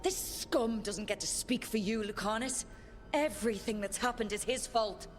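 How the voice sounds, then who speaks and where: A woman's voice: on the high side, normal speed, close to an everyday voice. Steadfast woman playing a game heroine, music behind. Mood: insistent. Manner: earnest, determined. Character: steadfast heroine, firm, loyal and reassuring. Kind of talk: video game voice acting.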